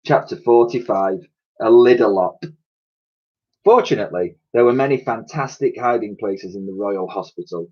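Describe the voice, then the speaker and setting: A man reading a children's storybook aloud in an expressive way, like a teacher reading to pupils. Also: medium pitch, highs cut off. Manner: expressive, acts out characters' dialogue.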